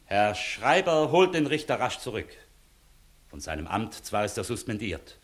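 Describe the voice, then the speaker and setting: An actor playing a dignified, exasperated court inspector who gives clipped commands, in a 1960s German radio play. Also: male voice, lowish voice, sing-song, moderate pace. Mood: composed, commanding.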